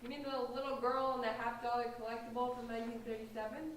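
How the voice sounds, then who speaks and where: A woman's voice: a little high, little pitch movement, fast talker, lightly acted. A woman playing a snobbish, sarcastic teenage girl, bored and dismissive, in a staged play reading. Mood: skeptical. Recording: distant echoey room, faint noise.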